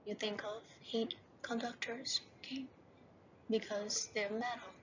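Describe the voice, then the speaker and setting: Lecturing woman, flat dull recording. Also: fairly high pitch. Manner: repeats "right?" and "okay?" as checks; slows down to read slide headings. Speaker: university lecturer. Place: voice-over over slides. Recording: dull lo-fi voice-over with faint hiss.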